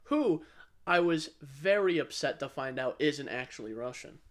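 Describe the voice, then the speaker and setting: A man's voice: on the high side, expressive, normal speed. An American man chatting casually as a podcast co-host. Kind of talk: casual chat.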